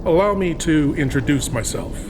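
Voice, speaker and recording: A man playing a sinister, courtly horror host, speaking in a hushed, deliberate, theatrical old-time radio manner with dramatic pauses. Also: on the high side, animated, background noise, close to an everyday voice. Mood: inviting, ominous. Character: sinister, courtly male horror host welcoming listeners into darkness. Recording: long hall reverb under music.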